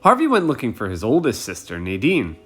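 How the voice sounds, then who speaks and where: A man reading a children's picture book aloud expressively, in an animated way for young listeners. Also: mid-range voice, a little fast, husky, soft background music. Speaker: read-aloud storyteller.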